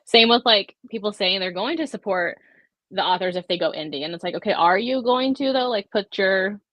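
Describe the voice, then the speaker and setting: A woman's voice: mid-range voice, fairly quick, fairly bright tone, no echo. A woman co-hosting a casual livestream chat, conversational and opinionated, often checking in with "you know". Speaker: livestream co-host. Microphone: video call livestream.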